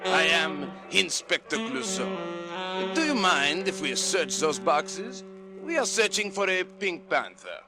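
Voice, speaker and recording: Pompous, high-pitched detective. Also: male voice, sing-song, takes it slowly, full character voice. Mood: pompous, irritable. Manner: pompous, boastful, theatrical. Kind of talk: comic radio-drama acting on a children's record. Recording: vintage children's LP with prominent music.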